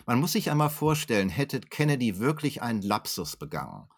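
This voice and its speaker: A man's voice: mid-range voice, very animated, a little fast, breathy edge. A man speaking as an expert in an interview, explaining in educated, articulate phrasing with frequent hesitations and self-corrections. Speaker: historian being interviewed as an expert. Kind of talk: explanatory interview answers, unscripted.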